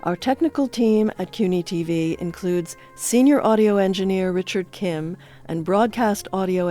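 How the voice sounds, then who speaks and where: A woman's voice: lowish voice, dark tone. A woman announcing podcast credits in a scripted reading. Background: faint music.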